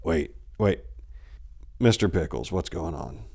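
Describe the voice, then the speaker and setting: A man's voice: low-pitched, lively intonation, takes it slowly, creaky, a little mellow, slightly rough, pitched below the performer's own voice, full character voice. A man voicing a weary, reluctant parish priest with a hesitant, dismissive manner in a story reading. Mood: weary deflecting.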